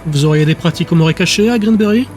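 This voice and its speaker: A man's voice: fairly high pitch, rapid-fire, darkish tone, voice pushed up, close to an everyday voice. A man voicing a teasing, easygoing, talkative colleague in an audio drama.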